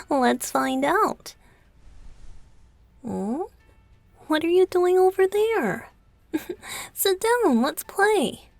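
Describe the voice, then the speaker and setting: Woman as a soft, unhurried, teasing young idol boyfriend. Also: on the high side, dry recording, exaggerated voice. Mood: playful, teasing. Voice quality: soft, breathy, often dropping to a whisper. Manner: teasing, coaxing, giggly, slow with pauses. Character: young male pop idol boyfriend, playful, teasing, affectionate. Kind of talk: intimate ASMR roleplay. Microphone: close studio microphone, dry.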